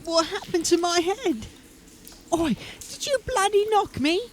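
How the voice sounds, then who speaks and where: A woman's voice: extremely high pitch, animated, measured pace, slight background noise. A woman playing a superstitious, grumbling male underling in a fantasy audio drama, anxious and plaintive. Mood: indignant. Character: nervous, superstitious, whining male underling called Gunny.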